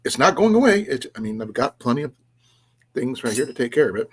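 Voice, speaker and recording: A man with a slightly raspy voice hosting a casual livestream, talking in a halting, rambling way with restarts and trailing asides as he chats with viewers. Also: mid-range voice, sing-song. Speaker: livestream host of an outdoors and preparedness channel. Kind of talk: casual livestream chat, reading and answering viewers' comments.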